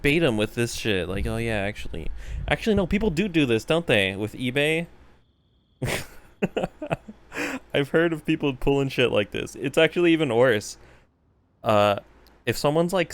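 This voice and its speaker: A man giving casual live gameplay commentary, slangy, sweary and rambling. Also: a little high. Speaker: game streamer. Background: game music.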